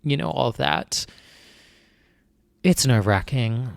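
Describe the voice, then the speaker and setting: Young guy podcast host, creaky voice, rambly nervous chat, dry close mic. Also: medium pitch, expressive, measured pace, no echo. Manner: anxious, rambling, many fillers and restarts. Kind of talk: casual solo chat.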